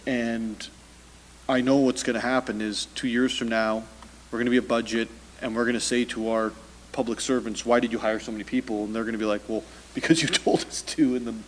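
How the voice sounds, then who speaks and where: A man leads the council debate, halting and repeating words, with a wry edge. His voice carries a long hall reverb, with a faint room hum beneath. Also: mid-range voice, level tone. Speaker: mayor chairing a meeting. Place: council chamber.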